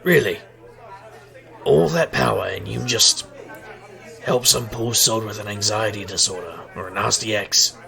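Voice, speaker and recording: An actor playing a wry, hard-drinking private eye and street magician in a noir audio drama, gravelly and wisecracking. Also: male voice, on the low side, measured pace, pauses often, pushed and bright, heavily acted. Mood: sardonic, probing. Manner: wisecracking, sardonic. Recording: crowd murmur behind.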